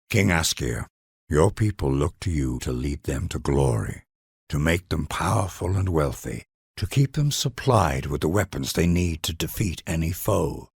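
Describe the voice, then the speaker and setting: A man's voice: lowish voice, creaky, slightly rough, no echo, studio quality. A middle-aged man, narrating a strategy game in a grand, solemn, stately and dramatic manner.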